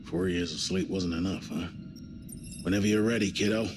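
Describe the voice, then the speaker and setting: Seasoned male commander, middle-aged, low voice, fatherly authority, sci-fi game drama over clear music. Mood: steady. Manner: measured, authoritative. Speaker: spaceship mission commander character. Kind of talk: cinematic video game acting. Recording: music behind the voice.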